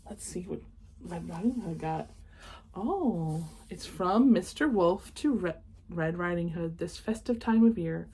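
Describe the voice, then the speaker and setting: A woman reading a children's picture book aloud, expressive in the story and chatting casually and enthusiastically in asides. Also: on the low side, unhurried, a little hiss or hum. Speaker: read-aloud host.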